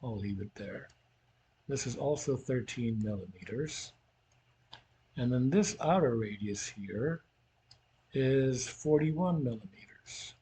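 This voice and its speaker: A man's voice: medium pitch, lively intonation, slow pace, long pauses, dark tone, slight background noise. A man teaching in an informal, conversational way, talking through a worked physics problem as if thinking aloud.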